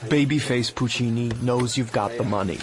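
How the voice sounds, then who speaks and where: A man's voice: medium pitch, moderate pace, crowd noise, close to an everyday voice. An actor playing a streetwise, nervy man in a radio drama, urgent and exasperated in manner. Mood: alarmed. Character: agitated, streetwise American man afraid of gangsters.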